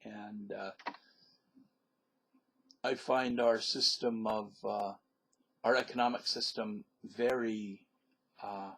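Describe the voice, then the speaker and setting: A man's voice: medium pitch, slightly rough. A man from the southern United States talking in a casual, rambling monologue in a put-on accent, hesitant and full of fillers. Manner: hesitant, many fillers and restarts; talks in a put-on, not his own accent. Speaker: vlogger.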